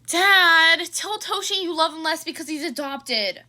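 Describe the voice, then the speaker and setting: A woman's voice: extremely high pitch, unhurried, bright, forceful tone, voice pushed up, heavily acted. A woman voicing a theatrical teenage girl who whines and teases in an exaggerated, bratty way for an audio fan drama. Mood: whiny teasing. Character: theatrical, whiny teenage girl, teasing her family.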